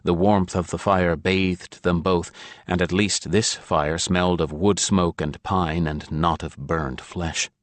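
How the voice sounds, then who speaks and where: A man's voice: deep voice, steady tone, takes it slowly, no room sound. A man narrating a fantasy audiobook in a scripted storytelling style.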